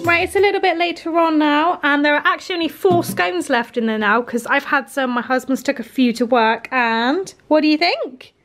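High-voiced British woman chatting while cooking. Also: moderate pace, few pauses, a bit bright, soft background music. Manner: informal, thinking aloud while working. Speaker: home baking vlogger. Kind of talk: casual chatty cooking demonstration. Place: home kitchen, indoors. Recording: household kitchen noise, dish clatter.

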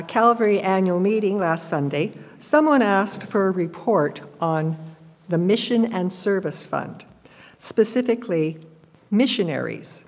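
A woman's voice: fairly low pitch, darkish tone. A woman, reading a prepared report plainly and carefully at a church lectern. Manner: plain, careful, matter-of-fact. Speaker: church member giving a report. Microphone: gooseneck lectern microphone. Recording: reverberant church hall.

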